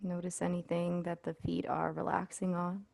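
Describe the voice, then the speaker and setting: A woman leading a guided body scan meditation as an instructor, speaking in a soothing, unhurried way with pauses. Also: fairly low pitch, little pitch movement, vocal fry, darkish tone, no room sound.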